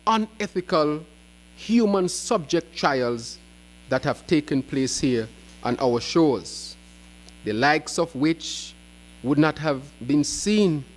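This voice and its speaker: A man giving a prepared press conference speech as an opposition spokesman, in deliberate, emphatic short phrases. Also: mid-range voice, poor audio.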